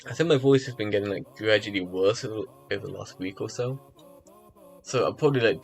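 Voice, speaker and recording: Rambling low-voiced male streamer. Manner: rambling, heavy with fillers and restarts. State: slightly rough morning voice. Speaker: live streamer. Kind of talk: casual live-stream chat. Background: faint music.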